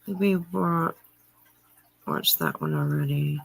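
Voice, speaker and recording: A middle-aged woman hosting a livestream, chatting casually and answering viewer comments in a halting way with frequent pauses. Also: low-pitched, huge pitch swings, vocal fry, slightly rough. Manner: halting, pausing often mid-sentence. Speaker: livestream host.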